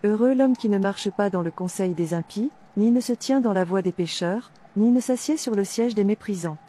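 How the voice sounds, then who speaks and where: Casting: actress as fearless believer preaching verses in a cartoon, warm dark tone, medium pitch. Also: female voice, normal speed, natural voice. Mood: steadfast, preaching. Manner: declamatory, reciting verses with references. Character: devout, fearless captive woman preaching scripture. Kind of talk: scripture recitation in animated drama.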